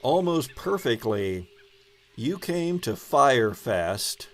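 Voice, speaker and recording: Slow-paced man running a tabletop game. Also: medium pitch, sing-song. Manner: hesitant, thinking aloud. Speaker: game master. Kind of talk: casual improvised game narration and table talk.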